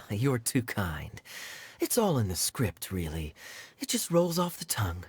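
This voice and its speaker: An actor playing a smooth, charming, easygoing young man in an anime game, speaking in a suave, reassuring way. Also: fairly low pitch, wildly swooping pitch, slow pace, background noise, heavily acted. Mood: modest, amused. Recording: music behind.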